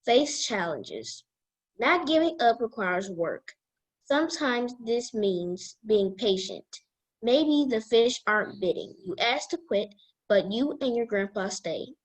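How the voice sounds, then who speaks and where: A woman guest reader reading a children's book aloud in a deliberate way. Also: a little high, very animated, low-quality recording.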